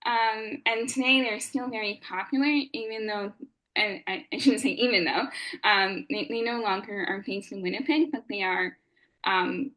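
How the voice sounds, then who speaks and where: A young woman with a fairly high voice, giving an earnest prepared lecture at a historical society meeting. She pauses often with "um" and corrects herself mid-sentence. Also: a bit bright, poor audio.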